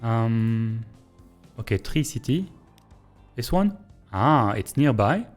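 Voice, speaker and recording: A man with a French accent, a native French speaker, thinking aloud in a hesitant, pause-filled way as he streams and comments on a train-building game. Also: medium pitch, sing-song, soft background music. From Belgium. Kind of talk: casual live-stream gameplay commentary.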